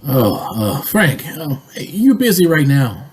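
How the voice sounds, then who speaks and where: A man playing an evasive, flustered boss in an old-time radio drama, hesitant and stammering, with a husky voice. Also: fairly high pitch, sing-song, slow, a little mellow, full character voice. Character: evasive, flustered male boss dodging work.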